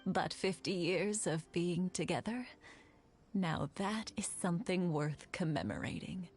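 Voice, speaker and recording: Unhurried, expressive old wife. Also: female voice, fairly low pitch, soft background music. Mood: fond, wistful. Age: older. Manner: unhurried, reflective. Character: warm elderly wife, fond and gently teasing. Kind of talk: video game voice acting.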